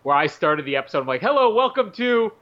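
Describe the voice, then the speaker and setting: Male co-host for casual film banter, slightly high voice, very animated delivery, teasing. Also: quick pace, muffled recording. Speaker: podcast co-host.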